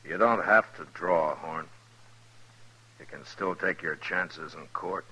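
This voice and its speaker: A man acting a calm, hard frontier marshal in a 1950s radio western, speaking tersely and dryly. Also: low-pitched, slow pace, slightly rough, exaggerated voice, rough recording. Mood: stern, steady. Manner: terse, taunting, unflinching, dry. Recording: vintage 1950s radio recording.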